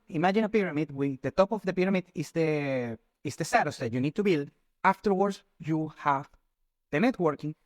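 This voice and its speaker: A man with a fairly high voice talks through his advice like a lecture, often stopping to restart a phrase. The sound is dry, with no room around it. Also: dry recording. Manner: advisory, lecture-like, with frequent restarts. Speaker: channel host. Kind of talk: casual talk to camera.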